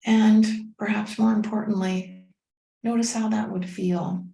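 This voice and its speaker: A woman leading a guided meditation as a teacher, speaking in a gentle, unhurried, soothing way with pauses. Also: medium pitch, steady tone, vocal fry. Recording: roomy, echoing sound.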